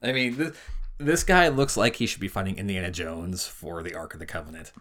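A man's voice: low-pitched, fairly quick, a bit bright. A man co-hosting a podcast, chatting casually and adding wry commentary. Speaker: podcast co-host.

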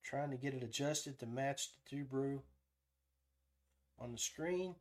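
A man's voice: mid-range voice, measured pace, a little mellow. A man hosting a casual live tutorial, thinking aloud as he demonstrates each step. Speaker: podcast host giving a software tutorial.

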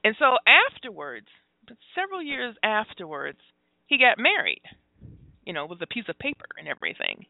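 A woman in her forties hosting a phone-in radio talk show, talking openly about her own life with many pauses, fillers and laughs. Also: on the high side, big pitch swings, vocal fry, no echo. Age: 40s. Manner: open and confessional, halting with fillers, laughs often. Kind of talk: candid phone-in radio chat. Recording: dry, tinny phone-like sound.

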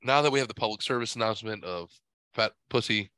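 A man's voice: lowish voice, fast talker, pushed and bright, smooth, no echo. A man hosting a podcast while sick and stuffed up, talking in a crude, profane, rambling way. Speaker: podcast host. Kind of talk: casual podcast chat.

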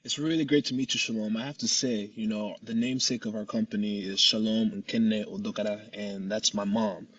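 Measured man with a husky low voice. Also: recorded from a distance, lo-fi sound. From United States. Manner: measured, steady, with occasional "uh" pauses. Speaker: startup founder, guest. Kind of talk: interview answers.

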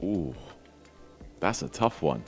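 A man's voice: deep voice, very animated, slow, faint music behind. A British man chatting casually as an interview guest, with a British accent and a laid-back, slangy manner. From Britain. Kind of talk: casual chat.

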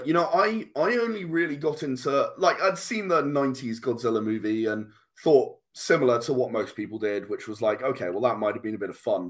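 A man speaking as a guest game designer in a casual interview, explaining in long, enthusiastic runs. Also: mid-range voice.

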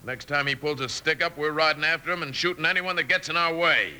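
An American actor playing a hot-headed mine foreman with a gruff, threatening western manner of talking in a radio drama. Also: a little high, quick pace, sharp tone. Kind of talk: old-time radio western acting. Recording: noisy vintage radio recording.

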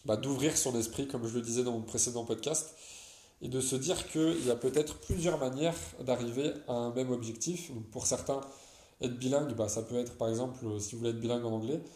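A man's voice: medium pitch, a little fast, mellow. A young man from France talking through his solo podcast, loose and chatty, full of hesitations and restarts. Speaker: podcast host.

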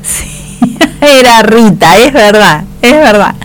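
A woman's voice: a little high, lively intonation, takes it slowly, a little hiss or hum. Agreeable female co-host. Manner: agreeable, echoes and affirms. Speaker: radio co-host. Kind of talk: casual on-air chat.

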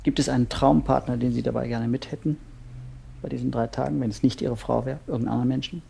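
An actor playing a probing, unflappable couples therapist with odd methods, speaking in a measured, polite way in a naturalistic radio play. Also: male voice, medium pitch, steady tone, vocal fry, dark tone, husky. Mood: instructive. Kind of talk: naturalistic improvised-sounding radio play dialogue.

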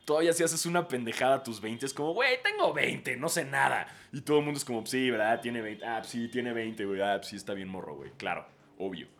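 A man's voice: medium pitch, expressive, brisk, few pauses, fairly bright tone. A man in his thirties with a Mexican accent, hosting a podcast and giving casual, profanity-laced advice, throwing in slang after almost every phrase. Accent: Mexican Spanish. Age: 30s. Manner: swears freely and throws in "güey" constantly. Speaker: podcast host.

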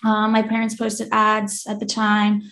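Guest, woman in her 20s, from Croatia; even, matter-of-fact storyteller, recorded in an echoey room. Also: medium pitch, measured pace, creaky. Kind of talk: casual interview talk.

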